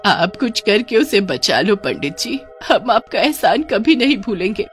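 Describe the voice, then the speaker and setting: A woman acts a middle-aged mother in a cartoon tale, worried and admonishing. Her delivery is earnest and swings widely in pitch, over soft music. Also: very animated, a little slow. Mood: pleading. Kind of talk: cartoon story character voice.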